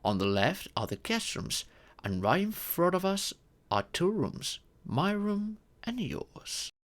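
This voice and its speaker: A man voicing a gentle, ancient dragon parent in humanoid form, formal and patient in a scripted audio roleplay. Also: high voice, sing-song, heavily acted. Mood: warm, patient. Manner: formal, measured, patient, explanatory.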